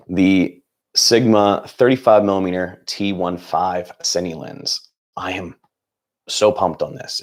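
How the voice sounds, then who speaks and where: Deep-voiced hoarse young man chatting. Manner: rambling, upbeat, many fillers and restarts. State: hoarse, losing his voice. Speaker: channel host. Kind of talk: casual livestream chat.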